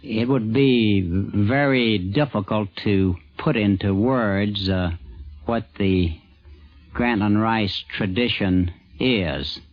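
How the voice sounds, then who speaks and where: Slow, low-voiced man in earnest tribute. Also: muffled recording. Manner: earnest, hesitant, measured. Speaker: interviewee, newspaper sports editor. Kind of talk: spoken tribute in radio interview. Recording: 1950s radio recording, dulled sound.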